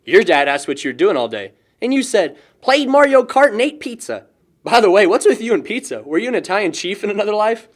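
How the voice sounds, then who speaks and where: A man's voice: sits high, very animated, brisk. An actor playing a goofy, carefree young man who playfully needles his best friend in a film drama. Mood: teasing. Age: young adult. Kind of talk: naturalistic amateur film dialogue.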